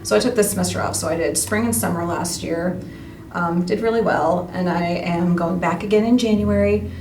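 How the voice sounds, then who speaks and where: A woman in her thirties talks in a loose, self-deprecating, conversational way as a guest in an interview. Her pitch is average, and the recording is roomy and a little distant from the mic, with some noise behind her. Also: normal speed, a little mellow. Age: 30s. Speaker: radio play cast member being interviewed. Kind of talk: casual chat.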